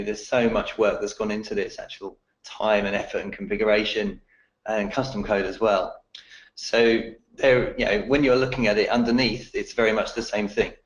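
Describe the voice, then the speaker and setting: A man with a croaky voice answering technical questions in a webinar, explaining in a steady way. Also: on the low side, echoey room, rough recording.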